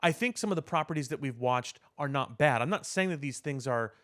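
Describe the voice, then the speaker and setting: A man co-hosting a casual podcast discussion, giving opinions in a halting way, repeating words as he builds his point. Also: medium pitch, expressive, fairly bright tone. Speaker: podcast co-host.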